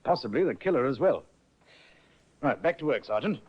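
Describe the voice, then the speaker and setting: A brisk police inspector with a lively, rising-and-falling delivery. His manner is crisp and courteously firm. The old radio recording sounds muffled. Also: mid-range voice. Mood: businesslike. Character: brisk middle-aged male police inspector. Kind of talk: 1950s radio drama acting.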